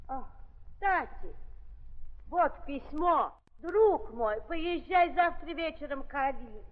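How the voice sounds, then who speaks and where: Blunt old countess, high swooping voice, theatrical delivery, 1930s radio play, muffled recording. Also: female voice, animated, takes it slowly. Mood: insistent, persuasive. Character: imperious, outspoken old countess, an aristocratic aunt. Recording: muffled 1930s recording, long hall reverb.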